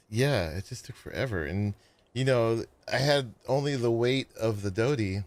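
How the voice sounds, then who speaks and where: A man casually chatting to viewers as he plays and builds in a survival game, with a sleepy voice and a relaxed, rambling manner. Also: fairly low pitch. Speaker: gaming streamer. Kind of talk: casual gameplay commentary.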